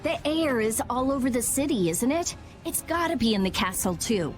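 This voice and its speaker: A woman voicing a sharp-tongued, prickly teenage girl genius in a video game, curt and snappish. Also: on the high side, expressive, normal speed, natural voice. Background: game music.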